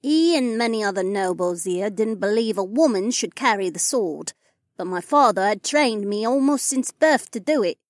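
A woman's voice: mid-range voice, animated, moderate pace, no room sound, studio quality, close to an everyday voice. A woman voicing a proud, wounded elf noblewoman and sword warrior in an audiobook. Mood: bitter. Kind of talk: audiobook character dialogue.

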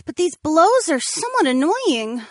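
A man voicing a brave young mermaid princess who guards her friends, calling out in a high, urgent voice. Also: very animated, a little slow, no room sound, exaggerated voice. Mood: grim.

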